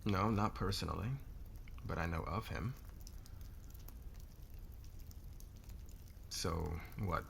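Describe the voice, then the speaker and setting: Man playing a gravelly, deep-voiced dominant boss. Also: a little hiss or hum. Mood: probing, amused. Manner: smug, probing, deliberate, threatening. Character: dominant, demanding male corporate boss, smug and calculating interrogator. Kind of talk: intimate ASMR roleplay acting.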